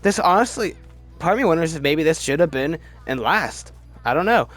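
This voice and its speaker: A man hosting a movie ranking video with casual, opinionated commentary in an animated, rambling, halting way that often repeats words. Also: a little high. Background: background music.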